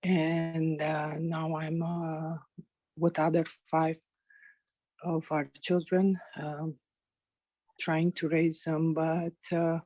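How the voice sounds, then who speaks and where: A woman speaks slowly and haltingly through her grief. Her voice is low, dark and flat. The sound is dry and close. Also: no echo. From Romania. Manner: halting, hesitant, many pauses and fillers. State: grieving. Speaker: caller.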